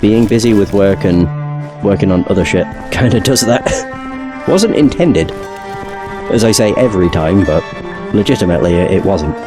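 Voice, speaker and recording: Male game streamer, casual and loose, voice lower than his usual from a recent illness, over audible game music. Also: normal speed, long pauses. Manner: chatty, relaxed, occasional swearing. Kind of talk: casual gaming commentary. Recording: game music playing behind.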